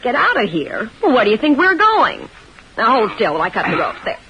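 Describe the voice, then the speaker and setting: An American actress playing a scheming, sharp-tongued femme fatale in a melodramatic old-time radio crime drama. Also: female voice, on the high side, wildly swooping pitch, a little fast, lo-fi sound. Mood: sly, offhand. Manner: melodramatic, slangy sweet talk. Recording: hissy vintage radio recording.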